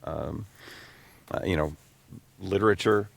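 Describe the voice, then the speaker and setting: A man's voice: deep voice, measured pace, creaky, slightly rough, no room sound. A man hosting a podcast in a casual, conversational chat. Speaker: podcast host.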